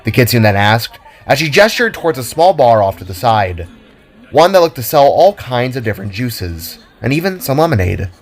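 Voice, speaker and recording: A man's voice: medium pitch, sing-song, normal speed, soft background music. A man reading scripted fiction narration as the storyteller.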